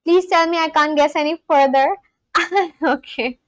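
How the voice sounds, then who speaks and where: A woman's voice: high-pitched, a little slow, dry recording, lo-fi sound. A woman from India with an Indian accent hosting a live stream, chatting casually with viewers, laughing often and talking straight to her chat. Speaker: livestream host.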